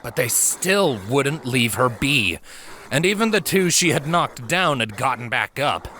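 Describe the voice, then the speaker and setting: A man reading a story as a measured, expressive narrator in a dramatic scripted style. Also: medium pitch, slight background noise. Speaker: fan fiction narrator. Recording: prominent music and effects behind voice. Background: background music, sound effects.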